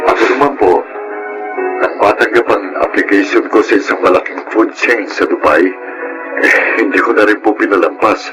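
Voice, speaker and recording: Low-voiced, earnest young son played by an actor. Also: male voice, moderate pace, music in the background, close to an everyday voice, low-quality recording. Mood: sincere, reassuring. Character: earnest, cautious young adult son, dutiful and level-headed. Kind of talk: Filipino radio drama acting.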